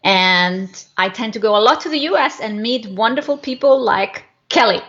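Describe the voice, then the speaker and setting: A woman's voice: fairly high pitch, lively intonation, normal speed, a bit bright. A woman with an Icelandic accent, a guest business coach giving a conversational account of herself from her home office. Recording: dull, lo-fi online call.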